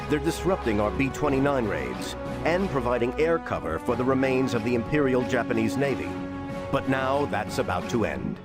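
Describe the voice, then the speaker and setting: An actor playing a grave, resolute military officer delivering a scripted war briefing. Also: male voice, medium pitch, lightly acted. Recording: voice over loud music. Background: loud music.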